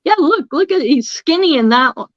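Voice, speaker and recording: A woman's voice: high-pitched, expressive, normal speed, dry recording, lo-fi sound. A woman in her sixties with a Boston accent, teasing a friend in casual chat over a video call. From Massachusetts. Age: 60s. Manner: teasing, wisecracking.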